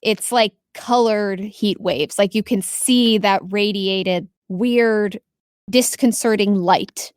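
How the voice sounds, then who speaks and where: A woman's voice: medium pitch, fairly even intonation, takes it slowly, a little mellow, smooth, dry recording, studio quality. A woman running a tabletop game as game master, improvising narration and descriptions for her players.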